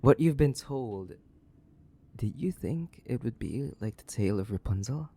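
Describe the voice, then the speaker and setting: A man playing a centuries-old, sadistic vampire in a theatrical horror audio roleplay, condescending and drawling, with a gravelly, breathy voice that slips into whispers. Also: medium pitch, lively intonation, unhurried, warm tone, slight background noise. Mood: mocking, menacing. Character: sadistic, centuries-old imprisoned male vampire, mocking and bored. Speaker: voice actor.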